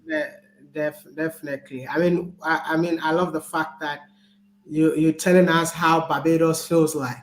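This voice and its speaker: A man's voice: fairly high pitch, level tone, moderate pace, slight background noise, rough recording. A man hosting a casual online chat, upbeat and laughing, with stammered phrasing. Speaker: host.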